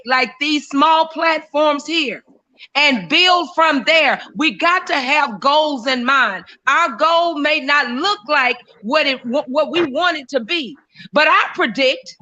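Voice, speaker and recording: A woman co-hosting a casual opinion talk show. Her voice sits high and sounds bright and pointed, and she speaks emphatically, restarting phrases as she pushes her point. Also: lively intonation, takes it slowly. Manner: emphatic, many fillers and restarts.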